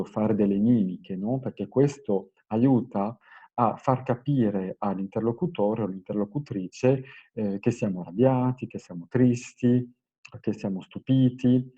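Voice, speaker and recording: Dark-voiced male teacher, dull sound. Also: medium pitch, breathy edge. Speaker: language teacher giving a lecture. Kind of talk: explanatory lesson, semi-improvised.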